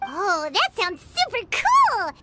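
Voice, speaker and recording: A woman voicing a tiny, childlike, excitable fairy companion in a video game, blunt and exclamatory. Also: very high-pitched, takes it slowly, heavily acted. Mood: amazed. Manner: speaks of herself in third person, exclamatory. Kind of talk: animated video game voice acting. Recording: loud game music behind. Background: background music.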